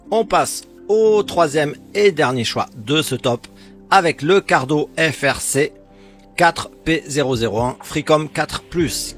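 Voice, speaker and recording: A man reads a scripted product comparison as a presenter, in a measured, explanatory sales style. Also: medium pitch. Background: background music.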